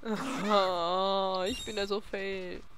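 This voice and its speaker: A woman's voice: mid-range voice, little pitch movement, very slow, noisy background. A young woman doing casual, playful let's play commentary, bantering in a silly, giggly way. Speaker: let's player. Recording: game music behind the voice. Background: video game music.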